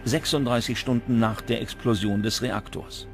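A man's voice: mid-range voice, steady tone, moderate pace, vocal fry. A man reading scripted documentary narration as the narrator. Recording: music bed under the voice. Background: prominent background music.

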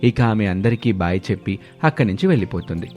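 A man's voice: on the low side, lively intonation, fast talker, darkish tone. A man narrating a scripted moral story as a storyteller. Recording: background music under the voice.

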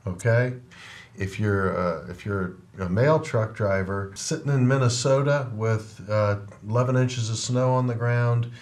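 Middle-aged guy hosting a trucking podcast, lowish voice, plain talk, studio mic. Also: a little mellow. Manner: plainspoken, trucker's perspective, frequent rhetorical "right?". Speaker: podcast host and trucking company owner. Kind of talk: casual interview chat. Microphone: over-ear headphones with desk mic.

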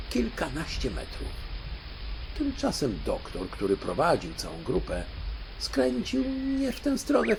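An older man narrating a children's audiobook in an expressive, dramatic, grandfatherly storytelling manner. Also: medium pitch, vocal fry, background noise. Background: music.

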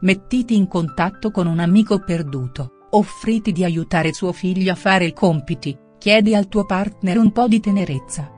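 A woman's voice: mid-range voice, normal speed, hardly any pauses, a little mellow. A woman reading a scripted daily horoscope as narration. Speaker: horoscope reader. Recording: music under the voice. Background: background music.

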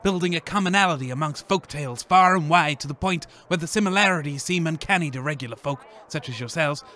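An actor playing a sceptical travelling scientist in a comedy radio play, pompous and verbose. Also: male voice, on the high side, fast talker, sharp tone. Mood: lofty, scornful. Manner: pompous, verbose, long-winded.

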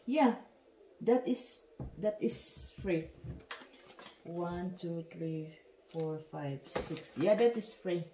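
A woman's voice: lowish voice, very slow, long pauses, mellow, slight background noise. A woman whose first language is Tagalog, chatting casually in broken English while unboxing perfume at home. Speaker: vlogger. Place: dining room at home. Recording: echoey room.

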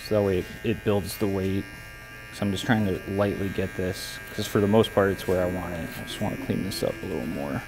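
A man's voice: low-pitched, fairly even intonation, fairly quick. An American man, a barber, explains his work casually and in an easygoing way as he goes. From United States. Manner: easygoing, explanatory, thinking aloud. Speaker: barber giving a haircut tutorial. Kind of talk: casual instructional talk while working. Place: indoor brick-walled barber shop. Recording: clear electric clipper buzz and hum. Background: electric clippers buzzing, hum.

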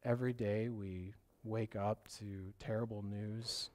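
A man preaching a sermon as pastor, hoarse from a cough, with a scratchy voice and an earnest, rambling way of talking. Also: fairly low pitch, flat delivery, measured pace, darkish tone. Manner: earnest, loses his train of thought and apologizes.

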